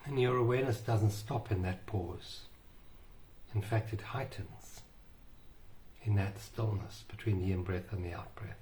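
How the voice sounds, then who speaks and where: A man guiding a meditation in a slow, soothing way with long pauses. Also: lowish voice, a little mellow, slight background noise. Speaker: meditation guide. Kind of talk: guided meditation.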